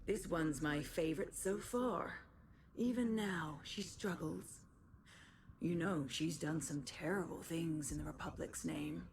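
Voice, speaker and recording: A woman playing a cruel, taunting game villainess who speaks slowly and deliberately with menace. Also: low-pitched, gravelly. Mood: mocking. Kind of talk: dramatic video game acting. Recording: background noise and game ambience.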